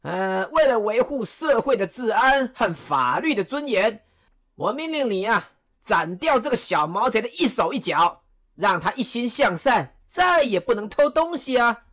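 A woman's voice: on the high side, big pitch swings, a little slow, a bit bright, heavily acted. A woman playing Cao Cao, a wry, menacing chancellor who lectures mockingly and makes sly threats. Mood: commanding. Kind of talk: radio drama acting.

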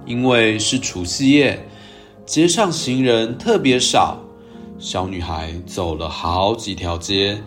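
A man hosting a storytelling show, narrating for young children in a gentle, expressive way. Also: fairly low pitch, takes it slowly, soft background music. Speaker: children's storytelling podcast host.

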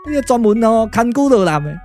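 A man's voice: extremely high pitch, very animated, brisk, darkish tone, faint music behind. Man voicing a cheeky, grumbling Taiwanese comic magician. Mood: aggrieved grumbling. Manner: folksy, exclamatory, clownish. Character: cheeky, boastful, grumbling comic immortal magician, reluctant sidekick. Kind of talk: Taiwanese puppet radio drama.

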